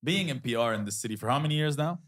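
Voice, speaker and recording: Animated, fast-talking teasing man. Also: mid-range voice. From Lebanon. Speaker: podcast co-host. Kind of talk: casual chat.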